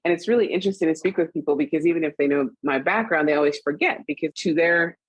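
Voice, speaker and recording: An animated woman with a standard US accent, chatting as an interview guest. Her voice sits low and a little husky, and she laughs easily between thoughts. The sound is dry and close. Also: fast talker, no room sound, poor audio. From Trinidad and Tobago and Costa Rica, raised internationally. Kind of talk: conversational interview.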